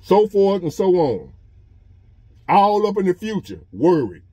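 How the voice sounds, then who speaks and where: A man in his fifties with a drawl speaks earnestly from inside a parked truck, going over the same points again and again in a plain, conversational way. His voice is dark and mellow, and the sound is dry and close, with no echo. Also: high voice, big pitch swings, measured pace, slightly rough, lo-fi sound. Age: 50s. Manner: earnest, repetitive, conversational preaching. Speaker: vlogger. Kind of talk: casual motivational monologue.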